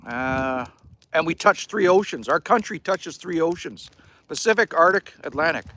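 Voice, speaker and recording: A man's voice: a little high, expressive, normal speed, sharp tone. Middle-aged canadian guy chatting w viewers from his cabin, jokey, bit of hiss. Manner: chatty, folksy, joking. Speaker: livestream host. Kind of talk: casual live chat reading viewer comments. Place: cabin by a wood fire.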